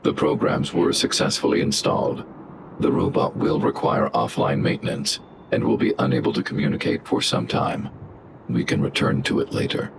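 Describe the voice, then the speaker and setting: A man voicing a large, protective robot in a video game, speaking in a formal, measured, unemotional way with a gravelly quality. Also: noisy background, exaggerated voice. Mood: calm, logical. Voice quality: very deep, gravelly. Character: large protective male robot, calm, coldly logical. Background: background music.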